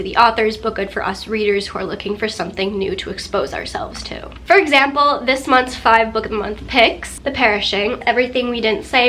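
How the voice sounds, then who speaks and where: A woman's voice: on the high side, a bit bright, a little hiss or hum. A young woman vlogging about books in a chatty, gushing way, sounding nasal from a recent cold. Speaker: book vlogger. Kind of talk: casual sponsored book chat.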